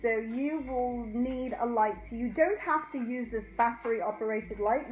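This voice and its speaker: A middle-aged woman hosting a casual craft tutorial, chatting informally and directly to her viewers. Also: fairly high pitch. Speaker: host of a craft channel. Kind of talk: casual DIY tutorial chat. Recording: roomy echo, faint music.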